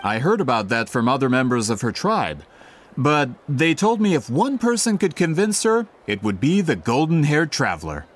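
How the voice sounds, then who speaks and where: A man's voice: medium pitch, sing-song, normal speed. An actor playing a polite, eager book merchant with a theatrical salesman's manner in a video game. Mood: persuasive.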